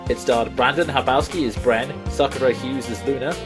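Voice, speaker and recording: A man's voice: mid-range voice, brisk, over music. A man reading scripted end credits as an announcer for an audio drama.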